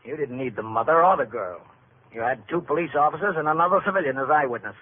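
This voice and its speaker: A man's voice: fairly high pitch, a little hiss or hum, close to an everyday voice. Man playing a police captain, tinny vintage radio. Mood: probing. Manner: measured, matter-of-fact questioning. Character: steady, authoritative police captain in charge of a precinct. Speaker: actor playing captain and narrator. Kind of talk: old-time radio police drama acting.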